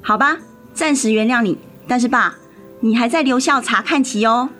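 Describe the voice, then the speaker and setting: Woman as high-voiced pert teenage big sister. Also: normal speed, fairly bright tone, lightly acted. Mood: pouty, sassy. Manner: youthful, pert, protective. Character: teenage elder sister, nearly eighteen, caring but sassy. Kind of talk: radio drama acting. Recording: music under the voice.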